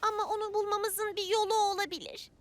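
A woman voicing a brave, cheerful little girl adventurer in a children's cartoon, earnest and expressive. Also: extremely high pitch, fairly quick. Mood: hopeful. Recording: loud music behind. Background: loud music.

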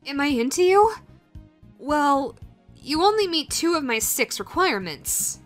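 A high-pitched, nervous young woman, played by a voice actress, awkward and down on herself in a romantic comedy audio roleplay. She speaks slowly and haltingly, stammering as she gets flustered. Faint music plays behind her. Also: big pitch swings. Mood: flustered, smitten. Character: awkward, self-deprecating young meme-obsessed woman flustered by love.

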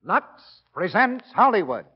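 High, declamatory male announcer over loud music. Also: big pitch swings, a little slow. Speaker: radio show announcer. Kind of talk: 1940s radio announcing. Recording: dull 1940 radio recording.

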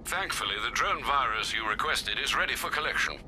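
An older, dutiful butler from a video game. The voice is very bright and forward with lively rises and falls, formal and polite, over faint noise. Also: male voice, mid-range voice, fairly quick, rough recording. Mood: relieved, businesslike. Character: elderly, dutiful, caring male English butler. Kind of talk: video game drama acting.

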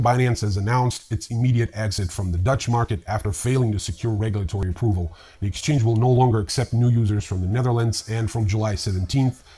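A man in his fifties with a husky voice, hosting a news show with scripted commentary and personal asides. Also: fairly low pitch, dark tone. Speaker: host of a cryptocurrency news video series. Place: small indoor room lined with bookshelves.

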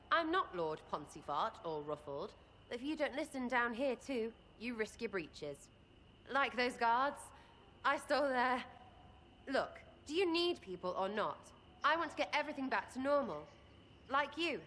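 A cheeky young elf archer from a fantasy game, with an English accent. Her voice is high and swoops about as she rambles in blunt, impish slang. Faint noise and ambience sit behind her. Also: a bit bright. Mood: brash, mocking. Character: brash, cheeky young elf woman archer, irreverent rebel. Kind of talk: video game character acting.

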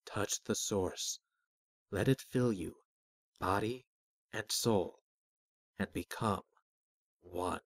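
A man voicing a calm, cryptic disembodied spirit in a fantasy story, soft and measured as he speaks in riddles. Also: lowish voice, no echo, full character voice. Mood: solemn, guiding. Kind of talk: fantasy audiobook character.

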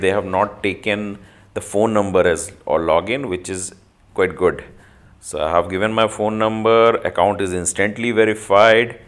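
A man with a fairly low-pitched voice narrates an app review step by step. His delivery is informal and halting, with many filled pauses as he works through the screens. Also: a bit bright.

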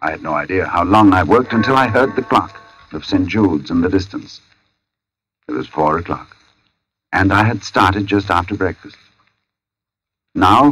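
An actor playing a brooding, haunted middle-aged artist in an old-time radio suspense drama, narrating in formal, deliberate phrases with dramatic pauses. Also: male voice, lowish voice, fairly bright tone, poor audio. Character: brooding forty-year-old male artist, haunted, precise, foreboding. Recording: vintage radio broadcast recording.

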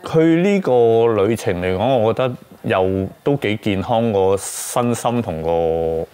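A middle-aged man with a Hong Kong accent, speaking haltingly and reflectively in an interview, searching for his words. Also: lowish voice, animated, warm tone, smooth. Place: small book-lined room, indoors.

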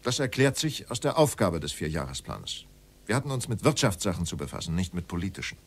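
Middle-aged ex-official, low lively-pitched voice, evasive, formal, defensive, radio drama. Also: male voice, fairly quick, breathy edge, exaggerated voice. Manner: terse, formal, defensive denials. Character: evasive, defensive former Nazi state secretary under questioning. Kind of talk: documentary radio drama dialogue.

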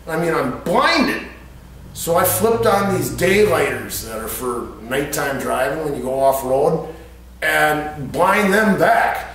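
A man's voice: a little high, fairly bright tone. A middle-aged American man with a Midwestern accent, telling anecdotes casually in a small workshop. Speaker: vlogger telling stories. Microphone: camera a few feet away. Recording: echoey room, distant camera microphone.

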